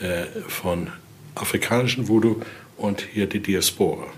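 A man's voice: low-pitched, normal speed, slight background noise. An older man explaining collection items conversationally as a researcher, in German with non-native grammar slips and frequent questioning tags at sentence ends.

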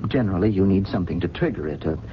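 An actor playing a bewildered, fearful, well-spoken amnesiac in a radio drama, talking haltingly and earnestly. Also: male voice, low voice, expressive. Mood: earnest, searching. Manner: halting, earnest, articulate, repeats words. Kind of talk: 1970s American radio drama acting. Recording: muffled, noisy old radio broadcast recording.

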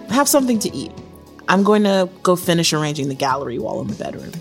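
An actress playing a caring, self-assured girlfriend, playful and gently bossy in naturalistic audio drama dialogue. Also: on the low side, sing-song, normal speed, faint music behind. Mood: teasing, affectionate.

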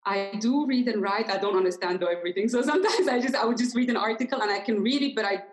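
A woman's voice: on the high side, quick pace. A young woman talking as a guest in a casual conversation, reflective and hesitant with frequent laughter.

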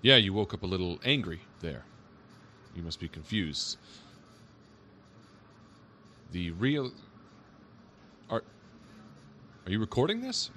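A deep voiced cop, kinda bemused n patient, audio drama, bit of background noise. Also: male voice, very animated, measured pace, pauses often, sharp tone, exaggerated voice. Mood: puzzled. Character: male police officer, wary, bemused, patient. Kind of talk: naturalistic audio drama acting.

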